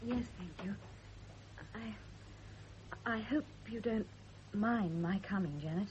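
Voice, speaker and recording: A woman's voice: mid-range voice, slow, darkish tone, a little hiss or hum, natural voice. An actress playing a distraught, loyal woman who pleads earnestly in a radio drama. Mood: subdued distress. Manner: earnest, beseeching. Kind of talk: 1970 radio drama acting. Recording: dull, band-limited old radio recording.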